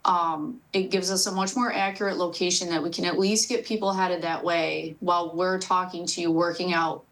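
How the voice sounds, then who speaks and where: A woman's voice: lowish voice, steady tone, moderate pace, a bit bright, low-quality recording. An American woman who works as a police dispatcher, explaining things as she answers interview questions.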